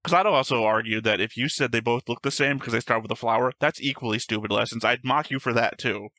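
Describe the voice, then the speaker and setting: A man's voice: medium pitch, quick pace, pushed and bright, no echo. A man co-hosting a reaction show, casually teasing and mocking his friend while they argue. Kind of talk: casual banter reacting to anime.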